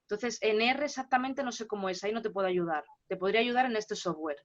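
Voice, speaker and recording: A woman with a Spanish accent, a guest lecturer answering questions in an online seminar, explaining in a brisk, assured way. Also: medium pitch. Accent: Spain Spanish. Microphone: video call. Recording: dull lo-fi call audio.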